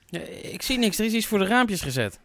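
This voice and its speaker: An actor playing a wry, sarcastic adult son in a naturalistic radio drama. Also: male voice, high voice, big pitch swings, brisk, background noise. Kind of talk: naturalistic Dutch audio drama acting.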